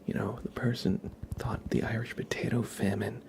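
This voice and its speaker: A man whispering slowly and playfully in an ASMR roleplay style, telling absurd stories with a straight face. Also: fairly low pitch, little pitch movement. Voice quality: breathy whisper with creaky edges. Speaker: ASMR livestream host.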